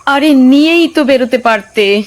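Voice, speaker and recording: An actress plays a frightened woman in a horror audio drama. Her voice is high-pitched and urgent. She is bossy and impatient as she hurries her companion along. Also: normal speed, natural voice. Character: frightened, urgent woman fleeing a sinister island. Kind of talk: Bengali audio horror story acting.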